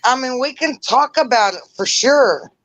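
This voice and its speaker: A woman chatting casually on a livestream call, talking loudly and rambling. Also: high voice, expressive, normal speed, rough recording. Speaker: livestream guest.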